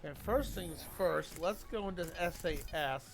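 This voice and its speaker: Casual male game streamer, slightly high voice. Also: normal speed, creaky, faint music behind. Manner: rambling, conversational. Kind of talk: casual livestream commentary.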